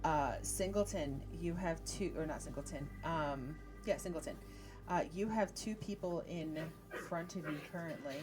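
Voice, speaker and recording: A woman's voice: low voice, moderate pace, over music. A woman acting as game master for a casual tabletop roleplaying game, hesitantly thinking aloud as she rules on play.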